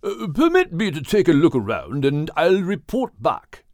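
A woman voicing a kindly, dignified male whale with a British accent and formal, measured manners in an audiobook. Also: low-pitched, wildly swooping pitch, a little mellow, no echo, clean studio sound, full character voice. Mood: obliging. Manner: formal, measured, hesitant courtesy.

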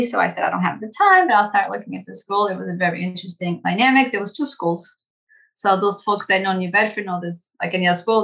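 A woman school director telling her story in an interview, animated and conversational, laughing easily. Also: fairly low pitch, creaky, dull audio. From United States. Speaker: executive director of a language school.

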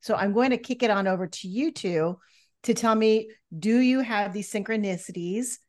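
A woman's voice: mid-range voice, moderate pace, a little mellow. A middle-aged woman co-hosting a podcast, conversational and guiding as she hands over questions. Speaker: podcast co-host, mother. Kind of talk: casual chat.

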